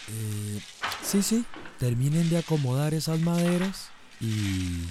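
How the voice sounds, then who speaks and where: An actor with a Central American accent playing an evasive, hesitant workman in a radio drama, halting and repeating himself. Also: on the high side, sing-song, over music. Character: evasive, hesitant workman hauling building materials.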